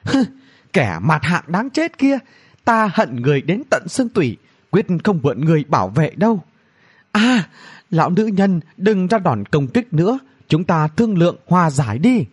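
Man acting as a young princess, high-pitched, husky edge, whiny, insulting, fantasy storybook. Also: very animated, moderate pace. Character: bratty young princess, shrill, scolding and pleading.

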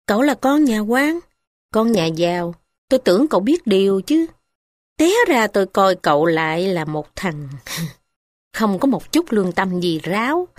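A woman's voice: medium pitch, a little mellow, dry recording, natural voice. Woman reading as a defiant poor village man. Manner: blunt, defiant.

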